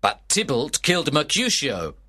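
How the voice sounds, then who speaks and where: A man's voice: on the high side, huge pitch swings, unhurried, sharp tone, pitched above the performer's own voice, heavily acted. A man voicing a stern ruling prince, grave and authoritative, in an audiobook tragedy. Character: stern, angry ruling prince passing judgement. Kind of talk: dramatised audiobook of a classic tragedy.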